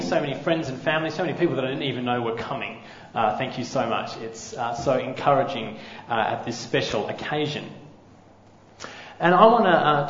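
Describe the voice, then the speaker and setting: A man with a loud voice preaching a sermon, relaxed and self-deprecating. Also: medium pitch, slight background noise. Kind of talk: wedding-day sermon. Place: church hall. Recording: echoey hall, slightly dull sound.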